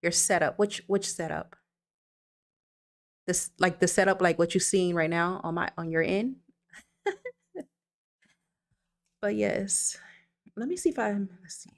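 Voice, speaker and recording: A woman with a somewhat low speaking voice hosts a relaxed livestream. She talks loosely and reassuringly to her viewers. She reads their comments aloud into her computer mic. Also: a little slow, creaky, pauses often. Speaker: livestream host. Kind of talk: casual livestream chat. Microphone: streaming setup with computer mic.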